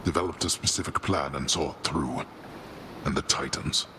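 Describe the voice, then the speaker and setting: An actor playing a stern, bitter old god of the dead and father in a video game, speaking gravely and haltingly with a commanding manner. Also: a bass voice, noisy background.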